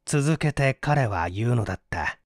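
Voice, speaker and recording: A man's voice: mid-range voice, lively intonation, measured pace, dry recording, studio quality. A man reading mystery fiction as an audiobook narrator, in a theatrical, suspenseful manner.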